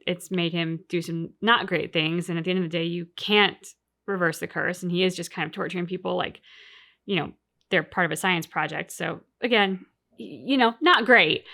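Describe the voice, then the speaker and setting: A woman's voice: lowish voice, moderate pace, bright, forceful tone. A woman co-hosting a reaction video, chatting casually and reflectively as she thinks aloud about a game. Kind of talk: casual chat, game commentary.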